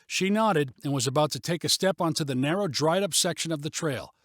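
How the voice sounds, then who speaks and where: A man's voice: on the high side, brisk, fairly bright tone, no room sound, clean studio sound. A man narrating a story in a scripted audiobook style.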